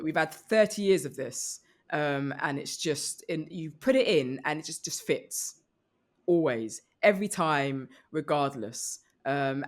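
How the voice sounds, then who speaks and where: A woman comedian chatting casually as a podcast guest, riffing in a rambling, animated comic way. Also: low voice. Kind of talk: casual comic panel chat.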